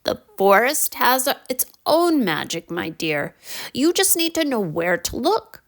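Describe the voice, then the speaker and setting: A woman voicing a kindly old grandmother with a gentle, knowing manner in a children's story. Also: a little high, big pitch swings, unhurried.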